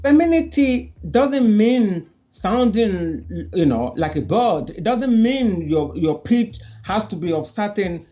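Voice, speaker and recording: A woman from Nigeria, a guest in a casual discussion who stresses her points by slowing her words down. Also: medium pitch, lively intonation, mellow, lo-fi sound.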